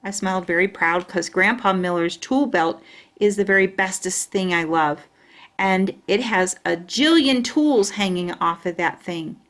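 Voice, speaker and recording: A woman reading a children's storybook aloud in an expressive storytelling style. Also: medium pitch, unhurried.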